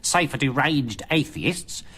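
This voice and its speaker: An actress playing a bossy, sarcastic film company executive in a radio comedy, with a scornful, emphatic delivery. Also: female voice, extremely low pitch, animated, slow, fairly bright tone, husky, full character voice. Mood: scornful, dismissive.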